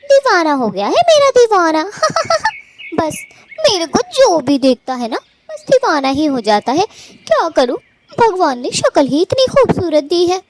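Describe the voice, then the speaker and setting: High, lively, vain young female bird voiced by a woman. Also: a little slow, slight background noise, exaggerated voice. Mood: boastful. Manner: coquettish, self-admiring, chatty. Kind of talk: animated story dialogue.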